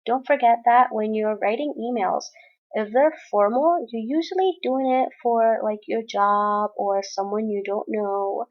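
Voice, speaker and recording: A woman's voice: on the high side, unhurried, dull audio. A woman teaching a college English class, explaining exercises step by step in a recorded lesson. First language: Spanish. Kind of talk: recorded screen-share lesson.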